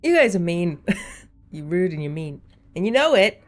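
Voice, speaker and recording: A woman's voice: fairly low pitch, big pitch swings, moderate pace, over music. A woman reacting casually as a video host, with a playful, jokey, slangy way of talking.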